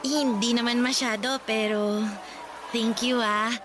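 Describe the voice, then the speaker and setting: A woman's voice: a little high, steady tone, takes it slowly, over music. A woman playing a blunt, dismissive teenage schoolgirl in a radio drama, with curt, exasperated delivery. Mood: softened. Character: blunt, irritable teenage schoolgirl brushing off her suitor. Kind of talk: Tagalog radio drama acting.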